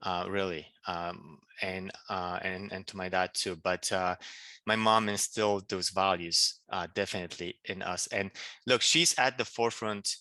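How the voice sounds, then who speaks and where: A man from Poland with a Polish accent, answering interview questions in a halting way with many restarts. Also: sits low, steady tone, sharp tone, no echo. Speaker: political candidate.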